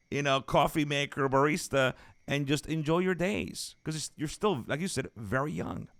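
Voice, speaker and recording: A man with a mid-pitched voice hosts a conversational interview. He is emphatic, circling back over phrases to build his point. The sound is dry, with hardly any room in it. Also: fairly bright tone, no echo. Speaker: podcast host.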